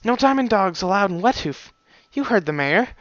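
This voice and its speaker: Angry, defiant unicorn mare guard with a high, pushed voice done by a man; bright tone; dry lo-fi recording. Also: animated, normal speed, no room sound. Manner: angry and defiant, then faltering.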